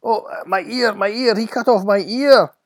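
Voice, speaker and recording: A man's voice: high voice, moderate pace, voice pushed up, natural voice. A man voicing a wounded, bewildered guard in a Bible story, wailing and whimpering. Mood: screaming in pain.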